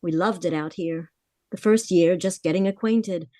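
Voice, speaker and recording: A woman's voice: lowish voice, moderate pace, dark tone, no room sound, clean studio sound, lightly acted. A woman playing a worried, frank young heiress of twenty-four in a vintage-style radio drama, confiding her story earnestly.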